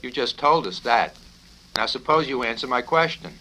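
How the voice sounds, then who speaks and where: A man reading a satirical novel, voicing a bullying, foul-mouthed military colonel who blusters and shouts his questions. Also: mid-range voice, expressive, normal speed, voice pushed up. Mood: badgering. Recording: noisy 1970s vinyl record with clicks.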